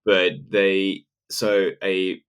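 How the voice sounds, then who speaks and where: South african guy, english not his first language, really low voice, lots of um and uh. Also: level tone, extremely slow, a bit bright, smooth. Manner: hesitant, many restarts and fillers. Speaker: illustrator and animator guest. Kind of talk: casual interview.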